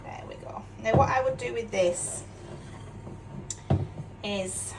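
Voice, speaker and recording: A woman's voice: a little high, slow pace. An older woman from Yorkshire with a mixed northern English accent, chatting casually and self-deprecatingly while she cooks in her home kitchen, often tagging her sentences with questions. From Yorkshire, England. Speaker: cooking vlogger. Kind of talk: casual chatty cooking demonstration. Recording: distant mic with clear background noise.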